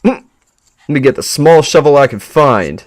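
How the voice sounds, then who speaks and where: A man's voice: on the high side, expressive, normal speed. A man doing a casual live reaction, musing informally and chuckling as he reads and comments. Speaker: streamer reacting to a horror web series.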